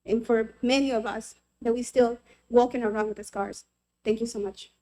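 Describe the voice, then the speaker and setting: Woman from el salvador, testifying, heartfelt and earnest, kinda high voice. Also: level tone, normal speed, mellow. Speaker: member of the public testifying. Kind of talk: personal testimony at a legislative hearing.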